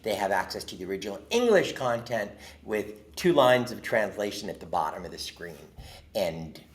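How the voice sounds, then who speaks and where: A man from Jordan, a podcast guest, telling an earnest, expressive story in casual conversation. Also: on the low side.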